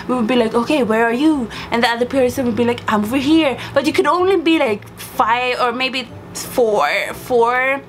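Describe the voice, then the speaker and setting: High-voiced young woman, playful vlogger. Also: moderate pace, few pauses, a little hiss or hum. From Sweden. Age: young adult. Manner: playful, exclamatory, slangy. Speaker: vlogger showing childhood belongings. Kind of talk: casual chat to camera.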